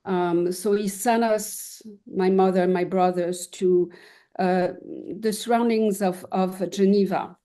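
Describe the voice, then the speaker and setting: Halting older woman, mellow interview voice. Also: mid-range voice, steady tone. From Switzerland and France. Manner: halting, searching for words. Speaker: artist guest being interviewed. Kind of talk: reflective casual interview answers.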